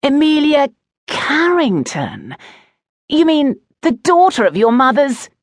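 A woman voicing a rude, mocking fifteen-year-old schoolboy in an audiobook story, loud and taunting. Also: a little high, sing-song, unhurried, no echo, pitched above the performer's own voice.

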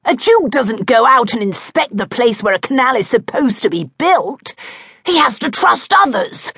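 A woman's voice: very high voice, huge pitch swings, drawn-out words, creaky, no echo, studio quality, voice pushed up, full character voice. A woman voicing a blustering, hot-tempered older male duke who bellows his lines in an audiobook. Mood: defensive. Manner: bellowing, shouting. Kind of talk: audiobook character voice.

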